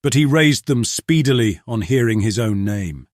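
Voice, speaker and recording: A man narrates an audiobook in a measured storytelling manner. His pitch sits in the middle, and the recording is close and dry. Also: expressive, dry recording.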